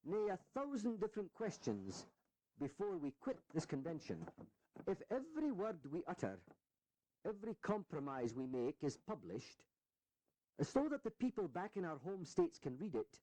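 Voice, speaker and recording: A high, mellow male orator in a dry studio sound. He is a high-minded colonial delegate in a history drama, formal and declamatory with sweeping intonation. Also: wildly swooping pitch, moderate pace, dry recording, exaggerated voice, rough recording. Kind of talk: historical audio drama acting.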